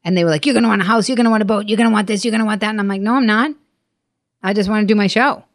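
A woman's voice: mid-range voice, fairly even intonation, very fast. A middle-aged woman telling anecdotes as a podcast guest. She is blunt and emphatic, swears freely and acts out quoted dialogue. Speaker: guest, stand-up comedian. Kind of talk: animated anecdotal storytelling in a podcast interview.

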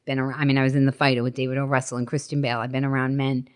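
Middle-aged female comic, low pitch, rapid delivery, blunt storyteller. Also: little pitch movement, smooth. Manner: blunt, emphatic, swearing, acts out quoted dialogue. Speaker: guest, stand-up comedian. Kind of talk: animated anecdotal storytelling in a podcast interview.

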